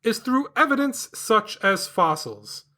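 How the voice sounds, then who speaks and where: A man's voice: high-pitched, animated, a little slow, bright, forceful tone. A man hosting an educational podcast for children, explaining science with upbeat enthusiasm and a sing-song delivery. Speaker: podcast host.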